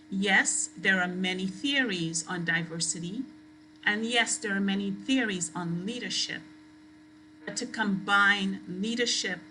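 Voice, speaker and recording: A woman delivers an academic talk. Her voice is bright and projected, and she speaks slowly, weighing and stressing her phrases. There is slight background noise on the recording. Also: mid-range voice, poor audio. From Trinidad and Tobago. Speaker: guest lecturer. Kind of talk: academic lecture.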